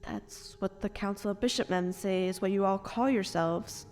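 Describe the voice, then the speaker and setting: An actress playing a grieving, stitched-together woman brought back from death, speaking in anguished, halting phrases in an audio drama. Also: medium pitch, a little mellow, lightly acted. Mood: wary, questioning. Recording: long reverb, music underneath.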